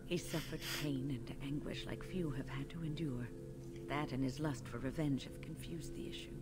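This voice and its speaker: Low-voiced, solemn older woman mage. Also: steady tone, moderate pace. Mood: somber. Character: composed older woman mage, compassionate and grave. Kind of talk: dramatic video game voice acting. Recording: loud music over the voice.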